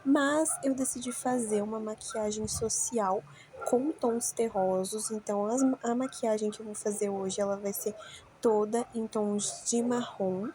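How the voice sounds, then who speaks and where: A young Brazilian woman with a slightly hoarse, nasal voice from a cold, chatting casually to viewers as she narrates a makeup tutorial. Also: medium pitch, steady tone, a little slow, warm tone, a little hiss or hum. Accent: Brazilian Portuguese. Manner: chatty, informal, talks straight to viewers. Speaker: makeup vlogger.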